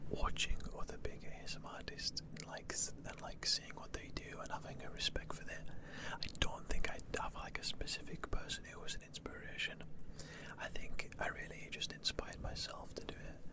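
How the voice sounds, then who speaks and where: A man from the Lake District, whispering through a casual ASMR question-and-answer session with plenty of ums and likes. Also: very high-pitched. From Lake District, northwest England. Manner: soft unvoiced whisper, rambling with fillers.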